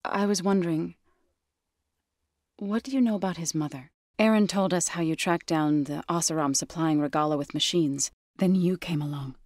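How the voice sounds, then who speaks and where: Low-pitched, earnest warrior woman, played by an actress. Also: moderate pace, darkish tone, no room sound, studio quality, close to an everyday voice. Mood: resolute, thoughtful. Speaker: video game character. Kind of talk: scripted video game dialogue.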